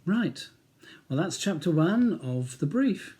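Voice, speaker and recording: Male author, English accent, middle-aged, mellow dark tone, slightly high pitch, audiobook reading. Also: big pitch swings, takes it slowly. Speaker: author reading own novel. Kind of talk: audiobook narration.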